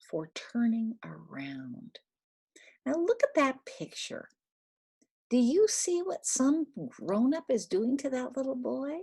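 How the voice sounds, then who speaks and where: An older woman reads a picture book aloud to toddlers, speaking simply with questions and little instructions, in a breathy, husky voice. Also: sits high, a little mellow. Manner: simple, instructive, asking the child questions and giving prompts. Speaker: storyteller for young children.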